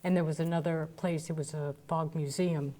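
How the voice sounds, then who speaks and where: Female host, slightly low mellow voice, eager storyteller and questioner. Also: steady tone, moderate pace. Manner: chatty, eager, telling anecdotes and asking questions. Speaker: radio show host. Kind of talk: casual interview chat.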